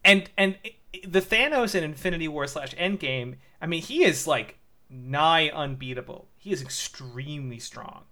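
A man's voice: on the high side, lively intonation, moderate pace, sharp tone. A man co-hosting a podcast, chatting casually, hesitating often with restarts and fillers. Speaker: podcast co-host. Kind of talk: casual chat.

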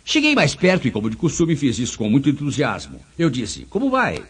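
Husky, animated Brazilian motivational speaker, male. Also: medium pitch, fairly quick. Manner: emphatic, rhetorical questioning. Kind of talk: motivational speech with anecdotes.

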